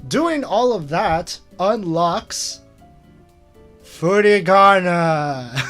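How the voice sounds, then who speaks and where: A man's voice: sits high, expressive, very slow, long pauses, fairly bright tone, soft background music. A man hosting a casual screen-share retrospective, rambling with lots of fillers and chuckling at himself. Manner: rambling, filler-heavy, self-amused. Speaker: channel host.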